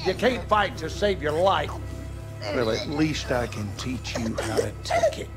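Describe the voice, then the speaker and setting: Mean abusive southern american dad in a game cutscene, slow drawl, bullying, music behind. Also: male voice, on the high side, big pitch swings. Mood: taunting, scornful. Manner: menacing, bullying, contemptuous. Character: cruel, bigoted, abusive middle-aged father and husband. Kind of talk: dramatic video game cutscene acting.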